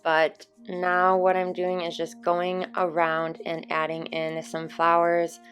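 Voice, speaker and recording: A young woman hosting her channel, chatting casually over gameplay, her voice a little hoarse. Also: deep voice. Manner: relaxed, chatty explaining with fillers. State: slightly hoarse from screaming. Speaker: gaming channel host. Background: soft background music.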